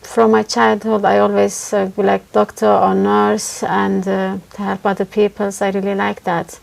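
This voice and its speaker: Gravelly-voiced Turkish woman, hesitant. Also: medium pitch, flat delivery, moderate pace, low-quality recording. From Turkey. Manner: hesitant, frequent uh fillers, simple grammar. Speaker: test candidate. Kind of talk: spoken exam answers.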